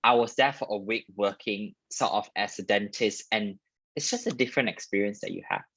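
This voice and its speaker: Husky young Malaysian man. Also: lowish voice, fairly quick, fairly bright tone, no echo. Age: young adult. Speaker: guest speaker, dentist. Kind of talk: casual personal storytelling in a career talk.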